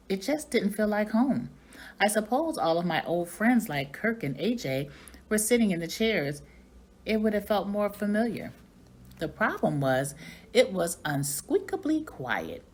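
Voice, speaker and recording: A woman reading a children's story aloud like a teacher, with expressive narration. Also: on the low side.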